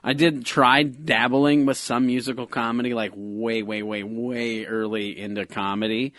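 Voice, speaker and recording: A man hosting a comedy podcast, easygoing and quick to laugh along with his guest. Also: mid-range voice, flat delivery, fairly bright tone, dry recording. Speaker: podcast host. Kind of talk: casual podcast chat.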